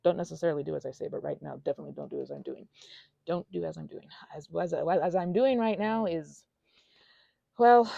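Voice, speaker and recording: A little female goblin , acted by a woman, rambles through an intimate ASMR monologue in a soft, dry voice with some creak. She is anxious and self-deprecating, breaking off mid-thought to soothe herself, her pitch wandering freely up and down. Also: no room sound, highs cut off, exaggerated voice. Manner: rambling, halting, self-interrupting, self-soothing asides.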